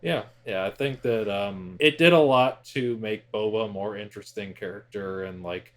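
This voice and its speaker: A man's voice: fairly low pitch, moderate pace, a bit bright. A man co-hosting a casual pop-culture podcast, speaking informally and bluntly in loosely worded opinions with some swearing. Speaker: podcast co-host.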